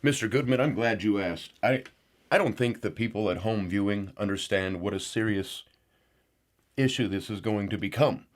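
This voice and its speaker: Un uomo che fa un opinionista complottista paranoico. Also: male voice, moderate pace, natural voice. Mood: indignant. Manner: indignant, excitable, outbursts of rising pitch. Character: paranoid male conspiracy pundit, excitable. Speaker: show regular. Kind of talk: comedic parody show.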